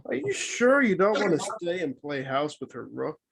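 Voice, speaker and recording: Cocky, husky-voiced cop played by a man, lively. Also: fairly high pitch, normal speed, full character voice, poor audio. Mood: teasing. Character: cocky, teasing male cop ribbing a rookie. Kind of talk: drama table read.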